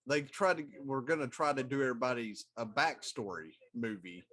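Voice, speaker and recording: A man's voice: medium pitch, brisk, fairly bright tone. A middle-aged man co-hosting a talk show in casual, conversational style. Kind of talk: casual chat about pop culture.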